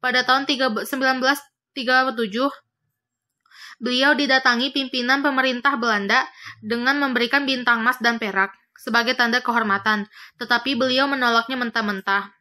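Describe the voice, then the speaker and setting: Bright high-voiced young woman reading. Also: fairly even intonation, brisk. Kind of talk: reading a prepared text aloud.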